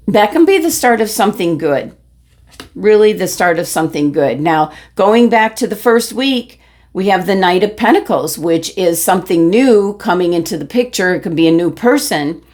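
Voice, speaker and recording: Hoarse woman tarot reader, lowish voice, rambling casual delivery with lots of "um". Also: animated, unhurried, darkish tone. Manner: rambling, many fillers and restarts. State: losing her voice, throat clearing. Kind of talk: casual monthly tarot reading.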